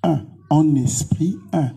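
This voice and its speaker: Congolese male pastor, interviewed; very mellow, breathy-rough tone, declarative and insistent delivery. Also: fairly high pitch, takes it slowly. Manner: emphatic, repetitive short declarations. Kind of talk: interview answers with preaching.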